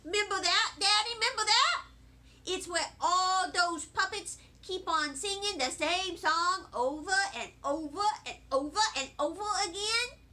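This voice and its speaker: Woman acting a high, bright, feisty little girl. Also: unhurried, full character voice. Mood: excited. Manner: childish grammar, emphatic. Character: feisty, stubborn, excitable little kindergarten girl narrator. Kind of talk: children's storybook read-aloud.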